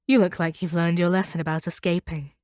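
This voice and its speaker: A woman playing a proud, cold monster hunter in an audio roleplay, speaking to a captive in a measured, condescending and domineering way. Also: lowish voice, flat delivery, darkish tone, dry recording, studio quality, lightly acted. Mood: calculating, condescending. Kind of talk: scripted audio roleplay monologue.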